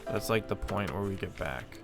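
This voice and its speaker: A man hosts a casual let's-play, thinking out loud as he goes. His voice is fairly low with a gravelly fry, and game music plays clearly behind him. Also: animated, moderate pace. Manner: musing aloud, thinking through puzzles. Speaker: gaming host, player.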